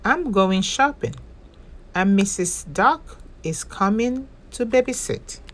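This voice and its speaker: Woman playing a caring storybook woman, gently firm, slow pace, animated intonation. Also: mid-range voice. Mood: warm. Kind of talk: picture-book character reading.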